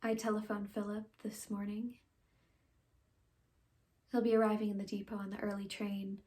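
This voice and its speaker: Halting, earnest young woman acted by a woman. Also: mid-range voice, flat delivery, lightly acted. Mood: subdued, sorrowful. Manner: halting, pleading, with long pauses and hushed phrases. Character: earnest, conflicted young woman confessing a painful farewell. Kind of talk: dramatic stage monologue.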